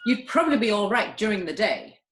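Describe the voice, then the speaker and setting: Nervy, protesting comic stage actor, played by a woman, lively swooping pitch, echoey internet call. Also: fairly quick, natural voice. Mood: earnest. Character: nervy, defensive male stage actor, easily scared. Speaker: amateur actor in a remote group reading. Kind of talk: comic stage-play reading. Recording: roomy home internet call.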